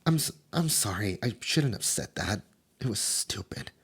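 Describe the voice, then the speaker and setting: A man voicing a nervous, gossipy male demon in an ASMR audio drama, talking in hushed tones and stammering often. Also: on the low side, expressive, moderate pace, husky, exaggerated voice. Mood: flustered.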